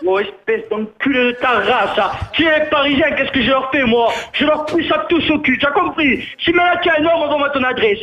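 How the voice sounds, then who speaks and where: A man in a heated argument over the telephone, emphatic and repetitive, quick to anger. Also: very high voice, bright, forceful tone. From Corsica, France. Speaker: prank call victim. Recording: dull lo-fi phone line.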